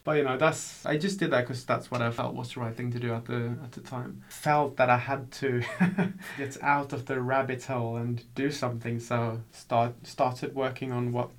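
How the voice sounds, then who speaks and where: Young Swedish male musician, relaxed interviewee, filler-heavy and giggly. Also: mid-range voice, moderate pace. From Sweden. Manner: soft-spoken, laughs often, many fillers. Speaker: musician guest. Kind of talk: casual interview chat.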